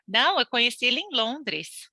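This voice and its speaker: Brazilian woman guest chatting away, super bright voice, dry close sound. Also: on the high side, big pitch swings, normal speed, dry recording. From Brazil (Santos). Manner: chatty, laughing storyteller with many restarts. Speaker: podcast guest, immigrant. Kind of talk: casual interview chat.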